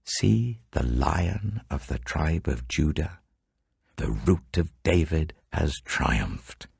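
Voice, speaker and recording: Very deep, gravelly older man reading scripture. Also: lively intonation, darkish tone, dry recording, studio quality. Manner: measured, solemn delivery that swells for quoted proclamations. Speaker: Bible reader.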